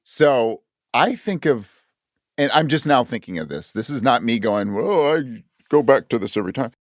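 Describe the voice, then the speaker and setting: A man's voice: medium pitch, expressive, normal speed, no echo, studio quality. A man speaking as a writer guest in a casual interview, candid and thinking aloud, often acting out his own thoughts as quotes. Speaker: guest audio drama writer.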